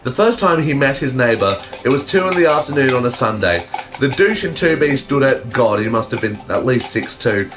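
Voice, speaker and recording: A man's voice: mid-range voice, lively intonation, moderate pace, music in the background. A man with a mid-range voice reading fan fiction aloud in a casual, unpolished way. He swears freely and drops in dry, teasing remarks like a co-host among friends. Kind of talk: casual banter and amateur read-aloud.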